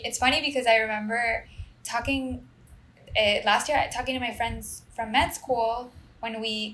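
A woman's voice: a little high, fairly bright tone, roomy sound. A woman in her twenties from Puerto Rico with a Puerto Rican Spanish accent, chatting casually and hesitantly, full of fillers and restarts. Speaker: medical student. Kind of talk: casual conversation.

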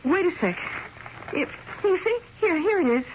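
A woman's voice: very high voice, animated, moderate pace, slight background noise. An actress playing a guileless young woman who talks in a casual, hesitant, girlish way in an old-time radio drama. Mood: curious. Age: young adult. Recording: dull, tinny old radio recording.

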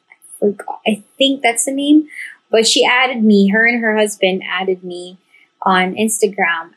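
Husky woman host, curious and chatty. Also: mid-range voice, low-quality recording. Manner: curious, asks follow-up questions. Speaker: podcast host. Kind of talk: casual interview chat.

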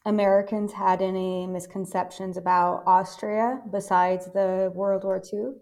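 Woman podcast host, a touch of fry in her voice, friendly and orderly. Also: medium pitch, fairly even intonation, unhurried, a little mellow. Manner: friendly, orderly questions and scripted sign-off. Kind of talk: interviewer.

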